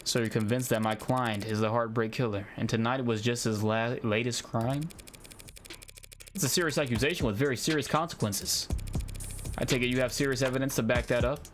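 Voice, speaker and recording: A man voicing a sardonic, formal defense lawyer from a visual novel, speaking in a measured, probing way. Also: mid-range voice, slight background noise, close to an everyday voice. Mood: probing, dry. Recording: music behind the voice.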